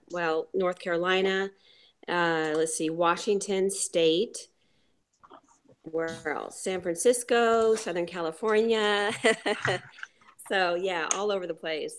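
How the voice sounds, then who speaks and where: American woman, lowish pitch, upbeat guest, explains casually with fillers. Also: a little hiss or hum. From United States. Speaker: panel guest.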